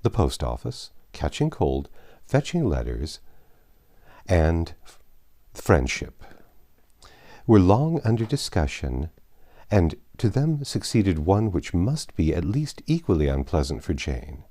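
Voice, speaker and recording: Mellow, low-pitched male narrator. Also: very animated, slow. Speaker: audiobook narrator. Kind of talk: scripted narration of a classic novel.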